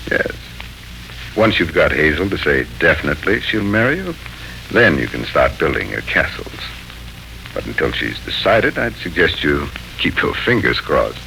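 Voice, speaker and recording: A very low, bright-edged man's voice with creak in it as a patient, teasing uncle. The delivery is dry and animated 1940s radio acting on a noisy old recording. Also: normal speed, faint music behind, full character voice. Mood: thoughtful, advising. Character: wry, patient, fond uncle.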